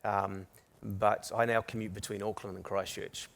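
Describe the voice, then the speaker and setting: A man's voice: fairly low pitch, little pitch movement, normal speed, gravelly, fairly bright tone. A man with a New Zealand accent, speaking candidly as a panelist at a business forum, with restarts. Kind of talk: panel discussion. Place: conference stage.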